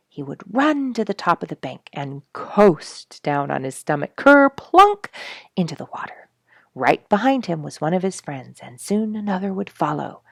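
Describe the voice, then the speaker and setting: A woman reading a children's storybook aloud in an expressive, emphatic manner. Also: low voice, gravelly, slightly rough, no room sound, clean studio sound. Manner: expressive, emphatic on sound words. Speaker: storybook reader and host.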